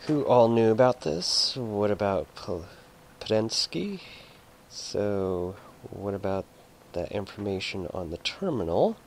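A man giving casual, halting game commentary, muttering to himself as he plays. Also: on the low side, lively intonation, vocal fry. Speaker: gameplay streamer. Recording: noisy, game sounds behind voice.

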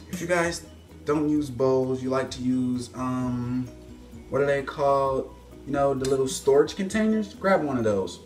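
Slow, playful man in an echoey kitchen. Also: fairly high pitch, darkish tone. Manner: playful mock-expert, talks to viewers; moving around the stove while cooking. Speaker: home cooking vlogger. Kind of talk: mock-serious cooking show. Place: home kitchen, indoors. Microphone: phone camera on a stand, several feet away.

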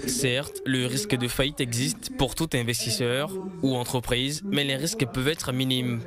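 A man's voice: medium pitch, fairly even intonation, a crowd in the background. Ivorian male reporter reading a news voice-over. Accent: Ivorian French. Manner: measured scripted delivery.

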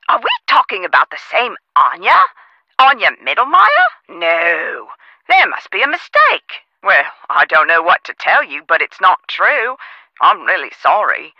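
A woman playing a chatty phone operator in a video game, with expressive customer-service delivery over a muffled line. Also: medium pitch, a little slow, dry recording. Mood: taken aback. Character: perky, chatty castle staffing phone operator. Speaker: call centre operator.

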